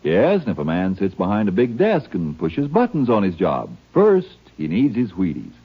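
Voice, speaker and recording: A man reading an old-time radio commercial as an emphatic, folksy announcer with a husky voice. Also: lowish voice, huge pitch swings, moderate pace. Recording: muffled old radio recording.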